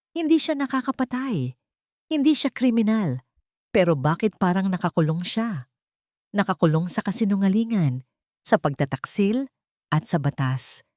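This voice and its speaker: Female audiobook narrator for scripted drama, low register, dry studio-like sound. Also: darkish tone, no echo.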